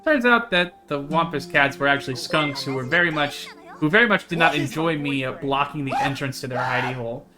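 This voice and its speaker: A man's voice: a little high, fairly quick, sharp tone, faint music behind. A man voicing a chatty, carefree young woman in a visual novel, in a breezy, rambling storytelling manner. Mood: breezy recounting. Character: chatty, carefree young woman who hikes hunting cryptids.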